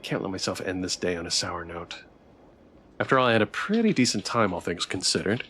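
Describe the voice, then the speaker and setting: A man's voice: sits low, animated, brisk, a bit bright, soft background music. A man streaming a visual novel, reading its text aloud with playful, theatrical commentary and joking asides.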